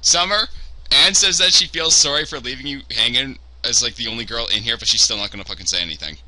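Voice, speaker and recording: A man's voice: medium pitch, quick pace, bright, forceful tone, rough recording. A man co-hosting a casual livestream podcast, joking crudely, swearing and laughing at his own jokes. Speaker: podcast co-host.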